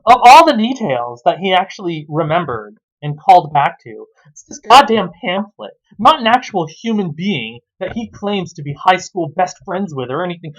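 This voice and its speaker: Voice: medium pitch, very animated, normal speed, few pauses, dull audio. A sarcastic, exasperated reviewer who swears freely while giving casual commentary on a story.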